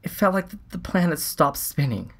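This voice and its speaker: An actor playing an earnest, shaken scientist on a Mars mission, recounting events in a halting, reflective way as in a documentary interview. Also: male voice, on the high side, very animated, vocal fry, full character voice.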